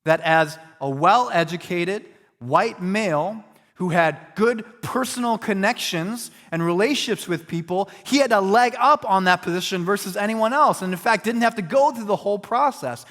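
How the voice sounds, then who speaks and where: A man's voice: sits high, lively intonation, moderate pace, a bit bright, long reverb tail. A man preaching as a pastor, earnest and reflective, talking through a hard question in a conversational way. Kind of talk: sermon.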